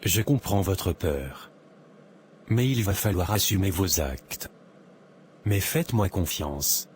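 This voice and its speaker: A man's voice: sits low, animated, slow, a little hiss or hum. A man voicing an earnest young cartoon lawyer. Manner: earnest, sincere. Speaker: narrator and protagonist. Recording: music playing behind.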